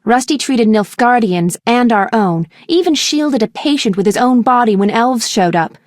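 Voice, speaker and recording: A woman voicing a caring but feisty young female medic in a video game, speaking earnestly and directly, sometimes with a sharp tongue. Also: fairly high pitch, expressive, moderate pace, no room sound, clean studio sound, close to an everyday voice. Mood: solemn. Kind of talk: video game character dialogue.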